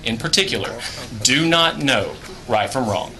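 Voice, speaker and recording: A man's voice: medium pitch, animated, measured pace, pushed and bright, poor audio. A man from Houston giving formal, polite testimony before a committee and defending his point under questioning. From Houston, Texas. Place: legislative hearing room.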